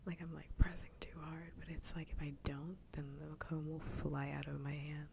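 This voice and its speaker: A woman speaks in a low, dark, breathy whisper, close to the microphone. She chats casually and gently in ASMR style, taking her time. A faint rumble sits underneath. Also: level tone, moderate pace. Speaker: streamer.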